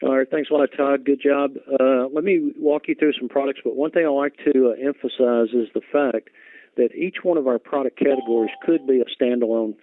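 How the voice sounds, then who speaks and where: A man's voice: mid-range voice, steady tone, fairly quick, no room sound. A man co-presenting on a business conference call, informally walking listeners through products. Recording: muffled phone conference line.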